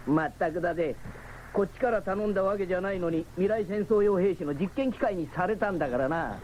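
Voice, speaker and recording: A man playing a cocky, joking cyborg with breezy, rough slangy talk in a 1970s radio drama. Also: high-pitched, fairly quick, darkish tone, close to an everyday voice. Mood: sarcastic. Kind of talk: 1970s anime-style radio drama acting. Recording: very noisy 1979 radio recording.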